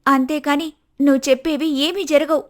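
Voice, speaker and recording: A woman voicing a principled, firm young married daughter in an animated moral story, speaking in an earnest, lecturing manner. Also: high voice, normal speed, close to an everyday voice. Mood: firm explaining.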